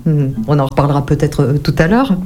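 A woman with a slightly low, mellow voice hosting an interview. She asks polite, carefully measured questions, pausing often as she goes. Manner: courteous, measured questions with many "euh" pauses. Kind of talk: radio-style interview.

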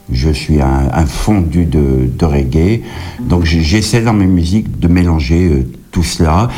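A deep, dark-toned middle-aged man from Kabylie, talking as a songwriter guest in an interview. He tells his stories at an easy pace, stumbling and repeating words before he finds his phrasing. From Kabylie, Algeria. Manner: hesitant, repeats words and restarts. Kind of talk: relaxed interview answers, anecdotal.

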